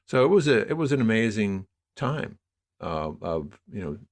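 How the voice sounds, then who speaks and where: A man in his sixties with an American accent and a low-pitched voice. He talks as an interview guest, unfolding his thoughts in a reflective, discursive way and leaning on key words. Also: very animated, moderate pace. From New York area, United States. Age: 60s. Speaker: interview guest, media producer.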